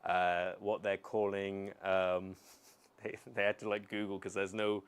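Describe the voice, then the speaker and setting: A young man from the United Kingdom chatting casually on a live stream, answering chat in a relaxed, rambling way with many pauses and restarts. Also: low voice, clean tone.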